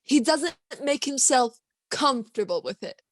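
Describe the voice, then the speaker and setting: A teenage woman acting a good-natured, forgiving young gentleman in a radio play, animated and good-humored. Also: high-pitched, measured pace. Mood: cheerful, forgiving.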